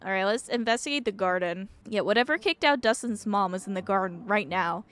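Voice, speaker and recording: A woman's voice: medium pitch, fairly quick, a bit bright. A woman streaming a game, chatting casually and reacting informally while reading dialogue aloud.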